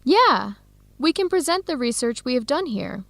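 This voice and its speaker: A woman's voice: high voice, sing-song, normal speed, vocal fry, no echo, full character voice. A woman with an American accent playing a friendly, encouraging young researcher in an educational radio drama. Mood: explaining, encouraging. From United States.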